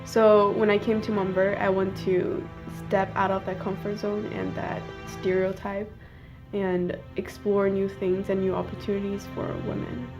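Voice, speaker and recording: A teenage student from China speaking earnestly in a documentary interview. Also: female voice, medium pitch, little pitch movement, a little slow, muffled recording. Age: teens. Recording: faint music underneath.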